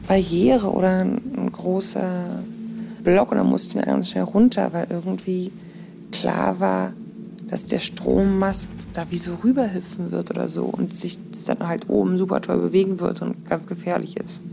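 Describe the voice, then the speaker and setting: A woman talking casually and haltingly, thinking aloud as if recording an audio diary. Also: fairly low pitch, little pitch movement, creaky. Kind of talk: casual spoken audio diary, recounting dreams. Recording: noisy informal recording with ambient sound.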